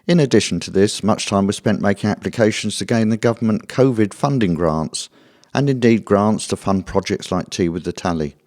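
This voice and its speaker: Deep-voiced man reading a formal statement. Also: fairly quick, a little mellow, no room sound, studio quality. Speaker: theatre official. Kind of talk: scripted statement read aloud.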